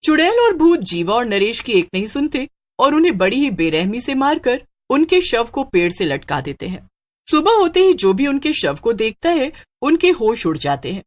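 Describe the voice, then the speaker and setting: A woman storyteller reading scripted narration for a horror story. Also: fairly high pitch.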